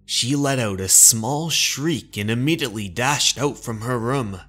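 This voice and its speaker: Slow-paced man narrating horror. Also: medium pitch, bright, forceful tone, soft background music. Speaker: narrator and channel host. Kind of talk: scripted horror story narration.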